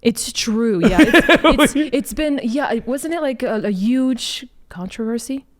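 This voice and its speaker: A woman's voice: a little high, animated, measured pace. A woman co-hosting a discussion show, chatting casually with a wry, sceptical manner. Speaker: co-host of a list discussion show. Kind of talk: casual joking chat.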